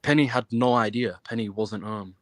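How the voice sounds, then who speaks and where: A man's voice: fairly low pitch, moderate pace, no echo. Blunt British man, dry close sound. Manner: blunt, sweary, insistent. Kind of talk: casual chat.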